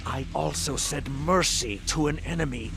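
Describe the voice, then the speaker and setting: A man playing a stern, indignant military leader in a video game, forceful and deliberate. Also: on the high side, gravelly, background noise. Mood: angry, shocked. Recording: game music behind.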